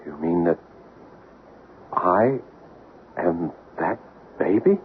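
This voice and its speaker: An actor playing a troubled man learning a family truth, with halting, intense questioning in radio-drama style. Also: deep voice, expressive, full character voice. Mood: stunned. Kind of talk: old-time radio drama acting. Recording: tinny, noisy old radio broadcast.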